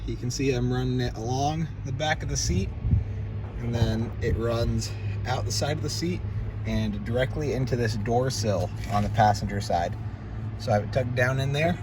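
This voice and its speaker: A man's voice: medium pitch, normal speed. A man giving an informal how-to walkthrough as a vlogger, thinking aloud in a conversational way. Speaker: off-road vehicle vlogger. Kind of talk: casual how-to walkthrough of a vehicle install. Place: in and around a parked truck outdoors. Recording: wind and outdoor vehicle noise. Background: wind, outdoor and vehicle noise.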